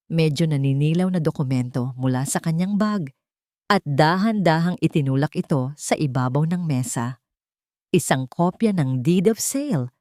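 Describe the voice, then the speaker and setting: Woman, Filipino accent, low-pitched, dramatic audiobook narration, dry close sound. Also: darkish tone, dry recording. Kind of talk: dramatic scripted story narration.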